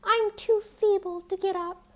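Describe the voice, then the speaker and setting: A woman puts on a feeble old fairy-tale grandmother. The voice jumps very high and calls out slowly, each word drawn out. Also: steady tone, a bit bright. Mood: feeble calling. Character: feeble old grandmother calling from her sickbed.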